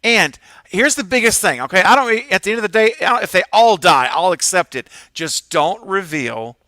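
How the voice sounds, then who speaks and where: A man's voice: high voice, lively intonation, normal speed, pushed and bright, no room sound. A man appearing as a guest on a live stream, giving his opinions at length in a playful, joking manner. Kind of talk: casual live-stream chat.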